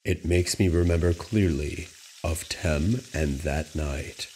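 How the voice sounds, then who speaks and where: A man voicing a large, brooding, delusional grizzly bear student in a dramatic manga dub, with an ominously tender, hushed manner. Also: low-pitched, slow, a little hiss or hum. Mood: brooding, wistful.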